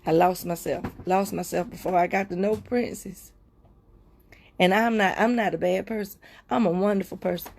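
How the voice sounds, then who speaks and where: A woman hosting a livestream, sharing a heartfelt personal testimony with viewers in a repetitive, preacher-like cadence, often slowing down and dropping to soft murmurs. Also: mid-range voice, fairly even intonation, creaky, slight background noise. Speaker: livestream host.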